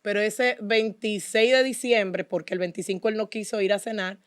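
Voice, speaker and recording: A woman's voice: mid-range voice, fairly even intonation, normal speed, no echo, clean studio sound. A woman with a Dominican Spanish accent, speaking as an interview guest and telling her personal story in an earnest, devout way. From Dominican Republic. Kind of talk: personal testimony in conversation.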